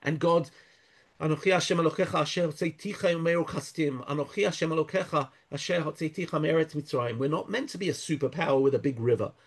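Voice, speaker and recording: Gravelly man, halting and thoughtful. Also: a little high. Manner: thoughtful, halting, many restarts, quotes Hebrew verses. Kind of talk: conversational interview.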